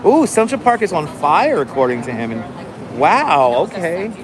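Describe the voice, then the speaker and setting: A man chats with his live stream viewers in a calm, carefully enunciated way. His voice sits a touch high for a man. Traffic and city noise run steadily behind him on the phone stream. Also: a little slow. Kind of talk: casual live stream chat. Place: outdoors on a city street waiting in line. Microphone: phone held for a live stream. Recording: noisy outdoor phone stream. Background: city traffic and street noise.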